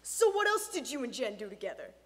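A woman voicing a stern, suspicious, grieving middle-aged father in an audiobook, forceful and emotional. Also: on the high side, wildly swooping pitch, fairly quick, big-room sound. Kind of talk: dramatic audiobook character acting.

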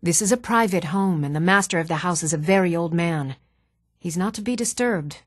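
A woman playing poised, articulate townswomen and noblewomen in a fantasy video game, with measured, formal delivery. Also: on the low side, dry recording, studio quality, lightly acted. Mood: firm. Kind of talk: scripted fantasy game dialogue.

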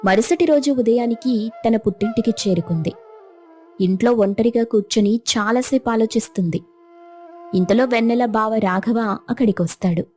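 Woman, story narrator, highish pitch, very mellow timbre, scripted delivery. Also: moderate pace, soft background music.

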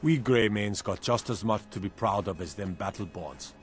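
A man playing a proud, gruff, folksy working townsman in a fantasy video game, with a curt, defiant manner. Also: on the low side, moderate pace, faint music behind, lightly acted. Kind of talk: video game character acting.

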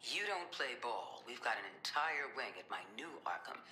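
A woman's voice: rumbling low, sing-song, moderate pace, sharp tone, roomy sound, slight background noise, full character voice. A woman playing a hard-bitten middle-aged director in a video game, stern, contemptuous and commanding. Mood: cold contempt.

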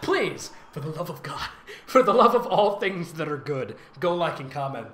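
A man with a high, very animated voice reads aloud for laughs and mocks as he goes. He is theatrical, sarcastic and quick to giggle. The room adds noticeable echo. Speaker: host, fan fiction reader and voice actor. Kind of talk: comedic read-aloud with mocking commentary. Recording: roomy echo with faint noise.

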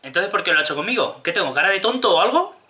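Bright, higher-voiced young man from Spain. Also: very animated, very fast. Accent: Spanish from Spain. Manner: insistent, straight-faced complaining. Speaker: prank caller. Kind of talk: improvised prank phone call. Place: small home room.

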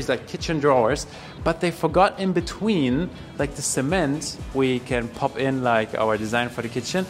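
A man in his twenties with a German accent, talking casually and explaining things to the camera as a vlogger. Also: a little high. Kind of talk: casual vlog talk to camera. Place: villa rooms, a furniture shop, roadside. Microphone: camera at arm's length.

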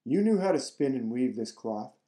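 A man's voice: mid-range voice, very animated, moderate pace, dark tone, roomy sound, close to an everyday voice. A man voicing a regal young tsar in a folk tale he reads aloud.

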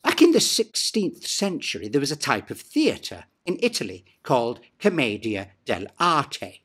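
Playful middle-aged man, wildly swooping pitch. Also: wildly swooping pitch, unhurried, fairly bright tone, husky. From Britain. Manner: playful, exaggerated, sweeping pitch swings. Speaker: storyteller and pantomime dame. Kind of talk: scripted explainer narration. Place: small dressing room, indoors.

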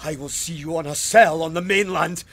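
A man's voice: fairly high pitch, normal speed, fairly bright tone. A man playing an outraged, stubborn, pious police sergeant in an audio drama, speaking formally and emphatically. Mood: defiant. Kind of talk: full-cast audio drama acting.

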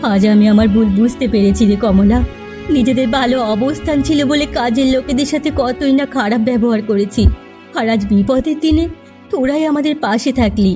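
A woman acts out a proud housewife brought low by misfortune. Her voice is high and mellow, with a plaintive, theatrical edge suited to a melodramatic cartoon fairy tale. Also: fairly quick, faint music behind, close to an everyday voice. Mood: regretful. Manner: dramatic, plaintive wailing. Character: proud rich housewife fallen on hard times, given to lamenting.

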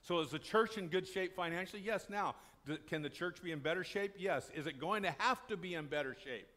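Older pastor preaching in conversation. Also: male voice, high-pitched, brisk, bright, forceful tone. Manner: conversational, asks and answers his own questions. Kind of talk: sermon. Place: small church sanctuary, indoors.

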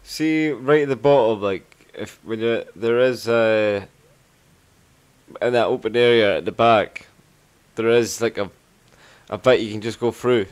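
A man's voice: medium pitch, a little slow. A man with a Scottish accent giving casual, sweary, rambling commentary as he plays a video game. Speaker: gaming video creator.

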